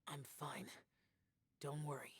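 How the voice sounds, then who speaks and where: A man's voice: fairly high pitch, little pitch movement, slow, vocal fry, fairly bright tone, breathy edge, full character voice. A man voicing a solemn, aloof young immortal demon-slayer in a fantasy game, speaking in a grave, guarded, restrained way. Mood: guarded, terse. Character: solemn, aloof young male immortal demon-slaying warrior burdened by karma. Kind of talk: scripted fantasy game acting. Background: background music.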